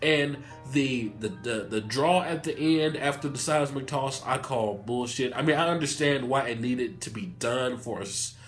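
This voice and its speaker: A man with a cold talks through a stuffy nose, mid-pitched and fired up as he rambles through an anime review full of swearing. Faint music plays quietly behind him. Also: moderate pace, few pauses. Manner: hyped, profane, rambling. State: sick, nasal. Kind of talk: excited casual review vlog.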